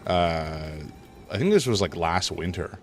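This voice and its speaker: A deep-voiced man streams a horror game, a bit stuffed up and rambling between loud reactions to scares. His pitch swings widely as he chats with viewers over faint game music. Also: animated, a little slow. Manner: rambling, self-interrupting, reacts loudly to scares. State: a little congested. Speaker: gaming streamer. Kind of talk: live let's-play commentary chatting with viewers.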